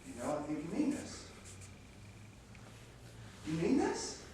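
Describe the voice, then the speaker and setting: A man's voice: fairly high pitch, huge pitch swings, takes it slowly, mellow, exaggerated voice. A man playing a tired, sarcastic young husband in a theatrical stage comedy, arguing dryly and emphatically. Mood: subdued. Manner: dry, argumentative, emphatic. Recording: distant, echoey, very noisy stage recording.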